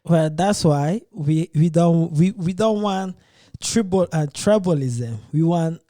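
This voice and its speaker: Male podcast host, slightly high, mellow tone, earnest and hesitant, dry close mic. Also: slow, no room sound. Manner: earnest, hesitant, restarts phrases. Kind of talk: casual podcast debate.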